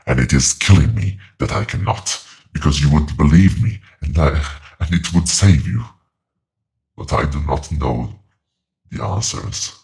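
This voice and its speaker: An actor playing an ancient, sorrowful otherworldly witness to the dead in a horror audio drama, solemn and ominous in measured phrases. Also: male voice, very deep voice, creaky, darkish tone, exaggerated voice.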